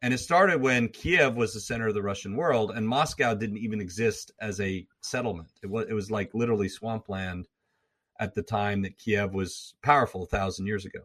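A man's voice: fairly low pitch, brisk, fairly bright tone. A man speaking as an interview guest, explaining history at length in a lecturing way, often repeating and restarting words. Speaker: guest, former troop commander and history professor.

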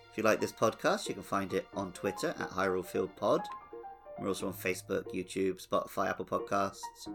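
Man, lowish pitch, gravelly fry, casual gaming podcast co-host, conversational, light background music. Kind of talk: casual video game playthrough chat.

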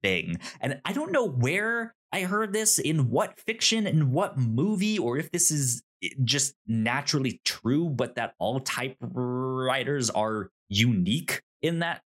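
Male podcast co-host, mid-pitched and very lively in intonation, stumbling over repeats, casual and unscripted, prone to acting out dialogue. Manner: halting, repeating words and syllables; animated, acts out imagined dialogue. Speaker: podcast co-host reviewing a TV show.

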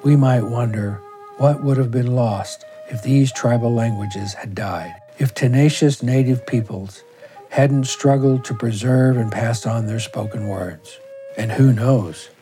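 A man's voice: mid-range voice, warm tone. A man delivering a formal, scripted address from an archival recording.

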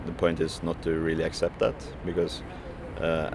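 A man's voice: extremely low pitch, completely flat delivery, moderate pace, creaky, noisy background. A man who works as a food courier, answering interview questions hesitantly with many fillers and restarts.

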